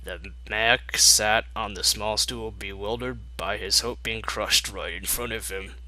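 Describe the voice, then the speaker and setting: A man's voice: on the low side, fairly even intonation, measured pace, sharp tone, poor audio. A man narrating fan fiction in a mocking style, with sarcastic, exasperated asides.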